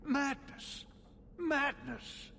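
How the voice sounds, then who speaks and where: A man's voice: very high-pitched, fairly even intonation, drawn-out words, creaky, bright, forceful tone, slight background noise, exaggerated voice. A man playing a harried, anxious nobleman ruler in a video game, formal and dramatic in manner. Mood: aghast. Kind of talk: scripted video game acting. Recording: long hall reverb, faint music.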